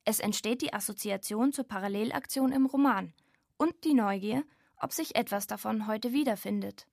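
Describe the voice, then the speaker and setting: A woman's voice: a little high, normal speed, gravelly, a little mellow, dry recording. A woman reading scripted documentary narration as the presenter.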